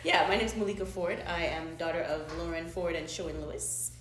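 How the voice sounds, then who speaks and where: A woman's voice: on the low side, fairly even intonation, fairly quick. An adult woman from Canada answering in a conversational interview, hesitant and searching for her words. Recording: echoey room, faint noise.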